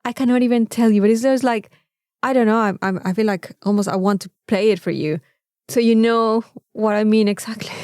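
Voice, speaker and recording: A woman with a Mexican accent and Spanish as her first language, chatting casually as a podcast co-host and telling stories with quick interjections. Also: fairly high pitch, a little mellow, dry recording, studio quality. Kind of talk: casual chat.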